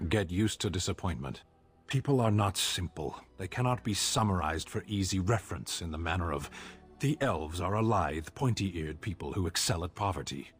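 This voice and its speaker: A man playing a stoic, blunt giant warrior in a video game, gruff and deadpan, speaking in curt, formal sentences. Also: deep voice, moderate pace, faint music behind. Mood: dry, blunt. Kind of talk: video game dialogue acting.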